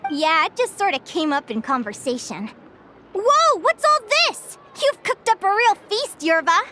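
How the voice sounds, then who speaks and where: A woman's voice: very high voice, sing-song, measured pace, background noise, heavily acted. A woman voicing an earnest, adventurous, chatty young fae changeling in game dialogue. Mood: playful. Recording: music behind the voice.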